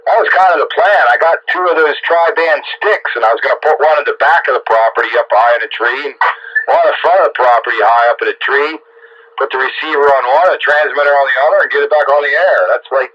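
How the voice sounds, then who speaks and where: A man's voice: a little high, fast talker. A man chatting casually over two-way radio in a colloquial, folksy way, like an amateur operator between transmissions. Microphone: radio transceiver, recorded off air. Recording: dull, noisy two-way radio audio.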